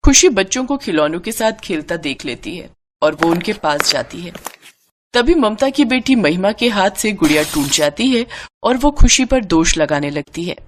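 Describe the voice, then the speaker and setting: A woman tells a moral tale as a scripted narration. Her delivery is dramatic and very animated in pitch, over a faint noise in the background. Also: moderate pace. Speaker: story narrator.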